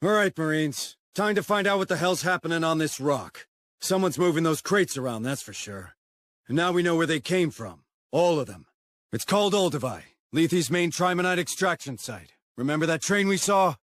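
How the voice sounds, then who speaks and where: An actor playing a gruff, stubborn commander of space marines in a video game, giving clipped, commanding briefings with dry sarcasm. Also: male voice, on the high side, expressive, normal speed, a bit bright, no echo. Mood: commanding, focused. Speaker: military commander. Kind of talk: video game cutscene acting and mission briefing.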